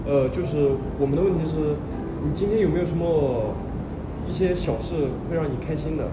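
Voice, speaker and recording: Higher-pitched man interviewing amid loud noise. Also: fairly quick. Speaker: street interviewer. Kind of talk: polite street interview. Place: street. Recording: echoey, very noisy street recording with traffic.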